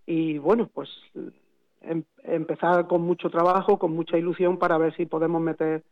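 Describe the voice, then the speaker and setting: A man's voice: a little high, level tone, moderate pace. A man, a football coach speaking by phone, hesitant and repeating words. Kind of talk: phone interview. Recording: tinny phone line.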